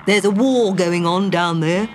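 A woman voicing a calm, matter-of-fact male piggy bank that talks in a slow, unflustered way and is sometimes cross. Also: lowish voice, noisy background, lightly acted.

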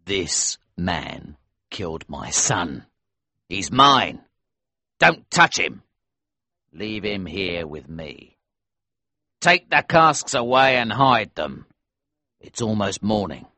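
A man's voice: low voice, very animated, takes it slowly, long pauses, pushed and bright, dry recording, full character voice. A man voicing a grieving, vengeful smuggler father in a storybook, cold and menacing in his delivery. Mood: commanding, grim. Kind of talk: audiobook character acting.

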